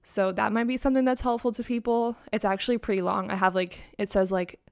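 A young woman chatting into her own microphone for her podcast, upbeat and rambling, with lots of "like" along the way. Her voice still has a sleepy, just-woken edge. She sits at a middle pitch for a woman. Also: steady tone, fast talker, creaky, smooth. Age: young adult. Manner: chatty, upbeat, heavy use of "like". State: sleepy morning voice. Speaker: podcast host. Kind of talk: casual solo podcast talk. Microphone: own microphone and laptop.

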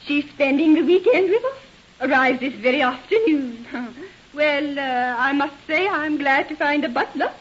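An actress playing a fussy, imperious middle-aged society matron in a haughty radio-drama style. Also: female voice, sits high, expressive, normal speed. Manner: haughty, commanding, snobbish. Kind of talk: 1930s radio drama acting. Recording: muffled old radio recording.

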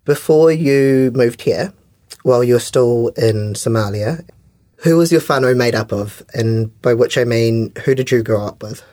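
A man's voice: mid-range voice, a little slow, a little mellow, no room sound. Dry-sounding New man interviewing. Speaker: interviewer, podcast host. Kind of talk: prepared interview questions.

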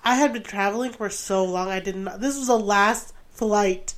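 Woman, 20s, from Rhode Island, podcast co-host, chatty and casual, mid pitch. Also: normal speed, crowd noise. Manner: chatty, animated storytelling with laughs and "like"s. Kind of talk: casual chat.

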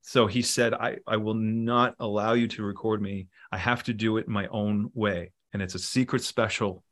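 A middle-aged man talking casually in an interview, relaxed and rambling, with many fillers and restarts. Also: fairly low pitch, normal speed. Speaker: musician and producer guest.

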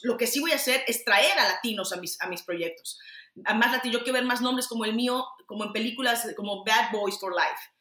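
A woman's voice: on the high side, pushed and bright, reverberant. A middle-aged Mexican woman, an actress and producer, answering interview questions candidly and emphatically, with frequent restarts.

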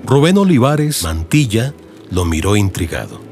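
A man's voice: fairly low pitch, very animated, moderate pace, a little mellow. A man with a Colombian accent giving dramatic, scripted narration for an audiobook in radio soap style. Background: music.

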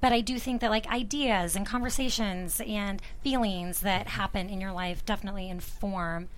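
A woman's voice: medium pitch, fairly even intonation, moderate pace, slight background noise, rough recording. A woman writer being interviewed on a panel, explaining her process in an earnest, thoughtful way. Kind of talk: reflective panel interview talk.

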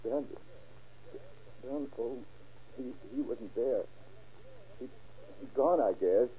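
Jittery, guilt-ridden suspect on tinny old radio. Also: male voice, mid-range voice, warm tone, breathy edge. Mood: haunted confession. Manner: halting, stammering, pleading. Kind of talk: 1930s radio crime drama acting. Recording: muffled, tinny old-time radio recording.

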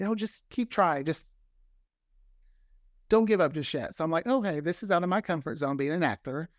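A man with a somewhat high voice talks casually as a guest in an interview. The sound is dry, with no room to it. He tells his story conversationally, often quoting himself and others in an acted-out way. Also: no echo. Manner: animated storyteller, often acts out quoted remarks. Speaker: guest, audio drama creator.

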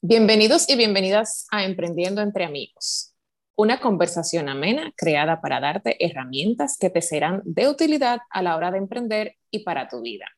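A woman from the Dominican Republic hosts an interview podcast in a mid-range voice. She speaks in an upbeat, affirming way, warmly encouraging her guest. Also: medium pitch, big pitch swings, normal speed. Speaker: podcast host, life coach.

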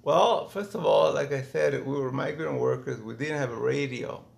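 A middle-aged man from Mexico reminiscing casually in an interview, thinking aloud in halting phrases with false starts. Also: mid-range voice, creaky. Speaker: interviewee, former migrant worker.

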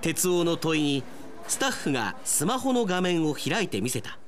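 Animated, higher-pitched male drama narrator. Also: moderate pace.

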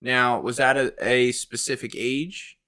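A man's voice: mid-range voice, little pitch movement, a little slow, bright, forceful tone. A man with an American accent hosting a podcast and interviewing in a casual, chatty way.

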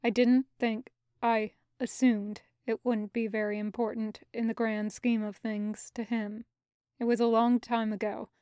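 Female reader as guilty man, soft, restrained, slow-paced, apologetic, audiobook drama, dry sound. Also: a little high, flat delivery, smooth, dry recording, natural voice. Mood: subdued, apologetic. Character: guilty, subdued man keeping a calm facade. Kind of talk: audiobook character acting.

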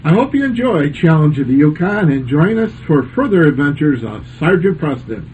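Higher-voiced male host, noisy room. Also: sing-song, moderate pace, darkish tone. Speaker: radio club host. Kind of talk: informal show sign-off banter.

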